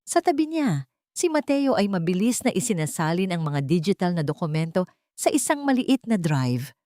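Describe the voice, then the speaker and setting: A woman narrates a romantic drama in steady, dramatic storybook style. Her voice sits low, recorded dry and close with no room sound. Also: moderate pace, no echo.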